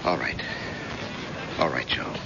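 An actor playing a worried, skeptical private detective in a radio drama, blunt and cautioning. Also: male voice, very deep voice, expressive, slow, gravelly, full character voice. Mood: urgent warning. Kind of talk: old-time radio drama acting. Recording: noisy, muffled old radio recording.

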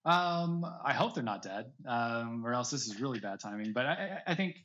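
A man's voice: medium pitch, expressive, moderate pace, pushed and bright. A man speaking as a podcast guest in casual, discursive interview chat, often hedging as he explains. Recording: slightly dull, lo-fi audio.

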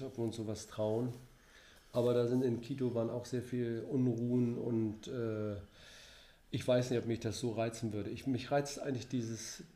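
A man's voice: medium pitch, dark tone, reverberant. An older grandfather talking as a podcast guest, hesitant and thinking aloud with many fillers. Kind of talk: casual conversation.

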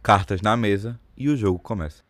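A man's voice: on the low side, a little fast, fairly bright tone, no echo. A Brazilian man narrating a radio soap opera in a scripted, dramatic storytelling style.

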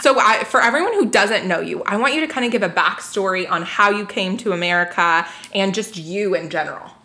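A woman's voice: mid-range voice, fairly quick, bright, forceful tone. A woman hosting a podcast, upbeat and bubbly, peppering her talk with "like". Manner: bubbly, upbeat, says "like" a lot. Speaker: podcast host. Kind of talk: casual podcast chat.

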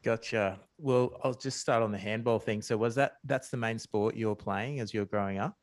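A man with an Australian accent hosting a podcast interview, asking questions in a relaxed, friendly way. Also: lowish voice, normal speed, no room sound. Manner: relaxed and friendly, with short affirmations. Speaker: podcast host.

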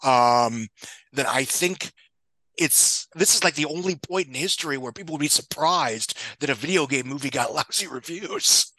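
Bright, forceful man giving blunt commentary. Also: on the high side, normal speed, no room sound, low-quality recording. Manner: blunt, sweary, sardonic, digressive. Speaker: guest film box office pundit. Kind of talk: opinionated podcast discussion.

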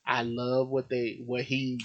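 A man's voice: medium pitch, monotone, unhurried, noisy background. An American man co-hosting a sports podcast, chatting casually about football in an informal, slangy, opinionated way. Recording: dull, lo-fi podcast audio.

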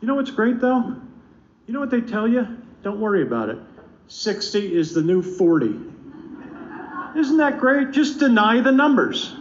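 A man in his fifties doing stand-up, firing off rhetorical questions and deadpan punchlines. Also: high voice, moderate pace, long pauses, slight background noise. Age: 50s. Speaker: stand-up comedian. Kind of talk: stand-up comedy routine. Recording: roomy, dull live recording.

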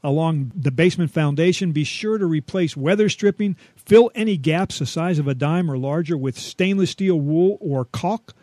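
A man with a fairly high voice co-hosts a home-advice radio show. He trades scripted banter in a folksy, wry way, playing it straight. The sound is tight and free of room echo. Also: normal speed, few pauses, dark tone.

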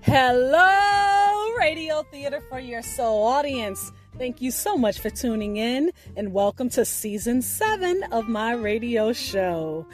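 A woman hosting a radio show, reading a scripted introduction in a theatrical, drawn-out and emphatic way. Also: fairly high pitch, expressive, a little mellow. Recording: music bed under the voice. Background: music.

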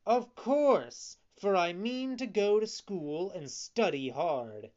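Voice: mid-range voice, sing-song, takes it slowly, muffled recording, voice pushed up. A narrator voicing a naive, kind-hearted wooden puppet boy, eager and earnest, in a storybook reading. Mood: excited.

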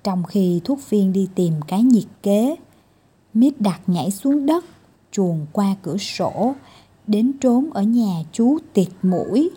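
A woman storyteller giving an expressive scripted narration of a children's story. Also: mid-range voice, slow pace, breathy edge.

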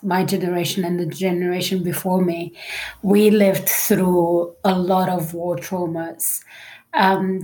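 A woman's voice: lowish voice, darkish tone, low-quality recording. Hesitant woman from Lebanon, telling her story. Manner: hesitant, many "um" pauses. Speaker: psychologist guest. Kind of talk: conversational storytelling.

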